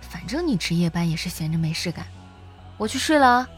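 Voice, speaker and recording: A woman playing a practical, gently bossy research colleague in an audio drama, speaking in an expressive, matter-of-fact way. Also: on the low side, a little fast, soft background music. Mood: chiding. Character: Irene, lively practical female research colleague.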